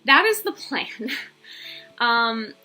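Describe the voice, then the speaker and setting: Casting: American woman, 20s, casual vlog host, chatty, bright forward tone, faint music underneath. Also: on the high side, sing-song, extremely slow, poor audio. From England, United States and Belgium. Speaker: video channel host. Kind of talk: casual personal vlog talk.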